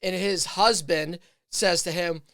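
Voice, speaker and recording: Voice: mid-range voice, steady tone, slow, fairly bright tone, no echo, clean studio sound. A podcast host thinking aloud in casual chat, rambling with many fillers and restarts.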